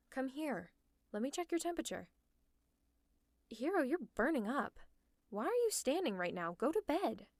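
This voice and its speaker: A woman's voice: on the high side, no echo. A woman playing a protective, teasing female villain in a scripted ASMR roleplay, with a sardonic, exasperated but caring manner. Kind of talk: scripted roleplay ASMR, one-sided dialogue.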